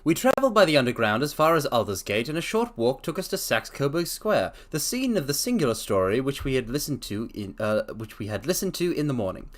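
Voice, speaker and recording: A man giving a dramatic storybook reading, theatrical and playful, with excited chatty asides. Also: medium pitch, a little fast, hardly any pauses, a little hiss or hum. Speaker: streamer reading aloud.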